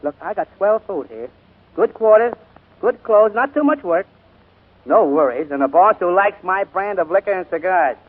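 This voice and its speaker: An actor playing a grumbling, slangy American butler and exasperated big brother in a 1940s radio drama. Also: male voice, high voice, animated, moderate pace. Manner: slangy, gruff, wisecracking. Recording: tinny, noisy 1940s radio recording.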